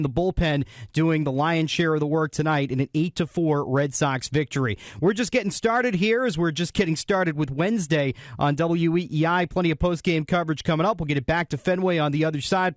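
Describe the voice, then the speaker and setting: A man's voice: a little high, no echo. A man hosting a sports radio post-game recap from a studio. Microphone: close studio microphone.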